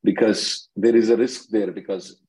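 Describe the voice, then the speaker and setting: A man's voice: on the low side, fairly even intonation, moderate pace, mellow, slightly rough, poor audio. A man working as an immigration attorney, answering callers' questions on a conference call in a blunt, impatient way, often repeating his phrases.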